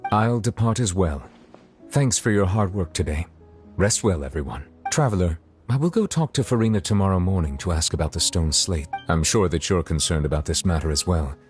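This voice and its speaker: A man's voice: low-pitched, animated, fairly quick, a little mellow, faint music behind. A man playing a grave, dutiful official in a video game, speaking formally and courteously.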